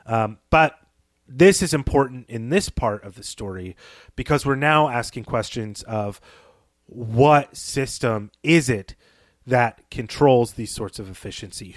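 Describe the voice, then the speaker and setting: A man's voice: on the low side, a little slow, dry recording, clean studio sound. A man co-hosting a podcast, discussing a story conversationally in an analytical, explanatory way. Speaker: podcast co-host.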